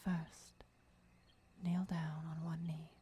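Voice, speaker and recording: A woman playing a devoted, gentle vampire mentor and lover in a breathy, whispered ASMR roleplay, instructing in a soothing way. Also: deep voice, level tone, slow. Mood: calm, soothing.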